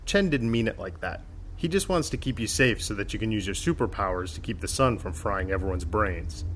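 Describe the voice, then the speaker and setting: A man's voice: lowish voice, expressive, a little fast, fairly bright tone, a little hiss or hum. A man playing an easygoing, placating but firm guard in a sci-fi audio drama, talking in a casual, reassuring way. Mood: teasing, reassuring.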